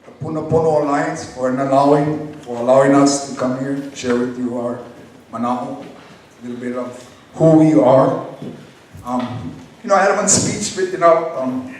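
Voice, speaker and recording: A man's voice: medium pitch, fairly even intonation, normal speed, a little mellow. Echoey, distant man speechmaking. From Hawaii. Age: middle-aged. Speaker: county council candidate. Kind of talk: campaign speech at a forum. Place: high school hall public forum. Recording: echoey hall, distant mic, faint noise.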